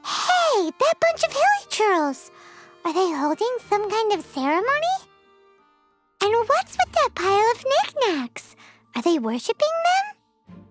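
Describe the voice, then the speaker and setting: A little fairy sidekick from a video game, extremely high and squeaky. She speaks in unhurried, bouncy swoops, blunt and cheeky like a small child. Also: wildly swooping pitch, faint music behind, exaggerated voice. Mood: curious, eager. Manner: squeaky, refers to self in third person.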